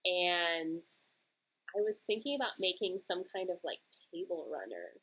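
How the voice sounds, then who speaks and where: A young woman with a lowish voice and a faint Southern lilt chats casually through listener questions. She rambles, laughs and circles back to her points. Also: sing-song, normal speed, a bit bright, slightly rough, rough recording. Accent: mild American Southern. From Georgia, USA. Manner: chatty, rambling, laughs easily. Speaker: knitting podcast host. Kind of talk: casual Q&A chat.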